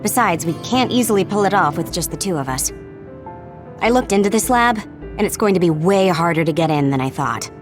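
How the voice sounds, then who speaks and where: A woman's voice: fairly low pitch, animated, a little fast, music in the background, natural voice. A woman playing a shrewd young soldier in a video game, wry, blunt and matter-of-fact. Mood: brisk, blunt. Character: shrewd, dry young female tech-savvy soldier. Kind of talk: dramatic video game acting.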